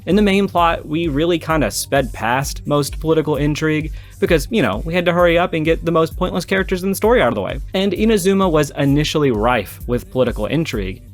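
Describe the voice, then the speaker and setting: A man narrating a scripted video essay as a game story analyst, with casual, irreverent, profane asides and a jokey manner. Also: fairly high pitch. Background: background music.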